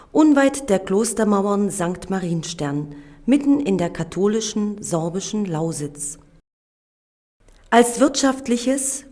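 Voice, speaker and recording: A woman narrating a documentary in a measured, solemn reading voice. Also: medium pitch, darkish tone.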